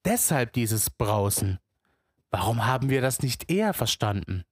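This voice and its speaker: A male storyteller narrating in the first person, unhurried and deliberate, musing as if living the scene. His voice sits in a mid register. The recording is dry and close, with no room sound. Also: mid-range voice, big pitch swings, breathy edge, no echo.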